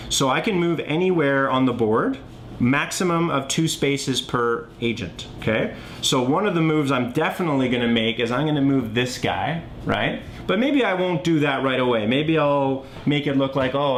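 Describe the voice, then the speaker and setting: A man's voice: medium pitch, a bit bright, a little hiss or hum. A man giving a casual tutorial, thinking aloud step by step and often checking in as he goes. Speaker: board game designer demonstrating his game.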